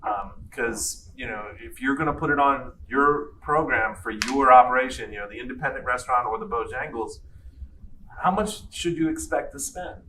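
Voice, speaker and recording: A man's voice: mid-range voice, moderate pace, pushed and bright. A man moderating a classroom lecture informally as the instructor, recorded at a distance in a noisy room. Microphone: far from the speaker.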